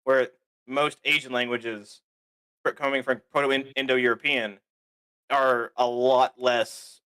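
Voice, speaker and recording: A man's voice: mid-range voice, little pitch movement, slow pace, sharp tone, clear, resonant tone, low-quality recording. A young man on a stream, explaining things casually and fumbling for words. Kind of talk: casual chat.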